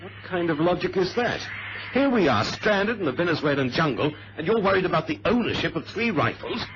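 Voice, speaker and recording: Blunt, animated airline co-pilot. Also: male voice, sits high, a little fast. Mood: indignant. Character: hot-headed, argumentative airline co-pilot. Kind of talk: radio drama acting. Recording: noisy muffled vintage radio drama recording.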